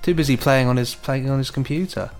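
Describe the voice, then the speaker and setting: A British man co-hosts a podcast in a casual, chatty style. His pitch sits in the middle, and faint music runs in the recording. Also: a little fast. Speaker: podcast co-host. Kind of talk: casual chat.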